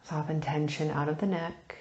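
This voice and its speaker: A woman leading a guided meditation, speaking softly and soothingly in unhurried phrases with long pauses, turning breathy at phrase ends. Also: sits low, steady tone, echoey room, muffled recording. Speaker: meditation teacher.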